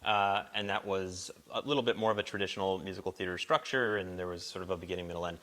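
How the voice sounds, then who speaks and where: A man with a very low voice and a bright, pressed tone talks casually to a small gathering. He explains the work being presented thoughtfully, pausing and feeling his way through it. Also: fairly even intonation. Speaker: performer introducing an audio play. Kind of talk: casual spoken introduction to a live performance. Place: barn, small live gathering.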